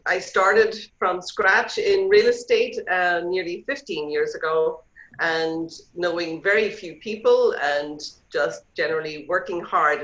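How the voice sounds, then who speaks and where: A middle-aged woman with an Irish accent, a real estate agent talking chattily and loosely as a guest on a video call. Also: fairly low pitch. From Ireland. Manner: chatty, relaxed, hesitant with fillers. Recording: roomy, dull video call audio.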